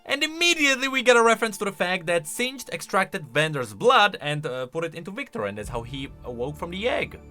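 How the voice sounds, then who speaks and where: Bright-voiced, animated man commentating. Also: high-pitched, fairly quick, hardly any pauses, soft background music. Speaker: video host. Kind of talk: casual reaction and explanation commentary.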